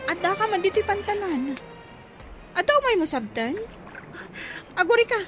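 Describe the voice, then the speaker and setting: A woman's voice: very high voice, very animated, normal speed, over music. A woman with an Ilocano accent playing an anxious, agitated woman full of questions in a radio drama. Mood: startled, anxious. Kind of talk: Filipino radio drama acting.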